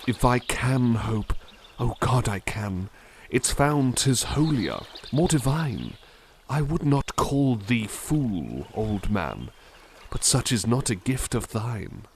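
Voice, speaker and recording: A man voicing a kind, polite butler in an ASMR roleplay, speaking softly and formally and reciting poetry with dramatic flair. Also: medium pitch, sing-song, slow pace, slight background noise. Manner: polite, formal and soft-spoken; dramatic, lilting recitation of verse. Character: gentle, kindly adult male butler.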